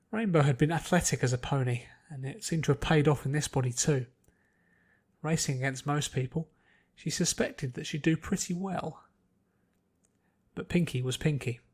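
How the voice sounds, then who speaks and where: A man reading a story aloud as an expressive scripted narration. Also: mid-range voice, slightly rough. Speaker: podfic narrator.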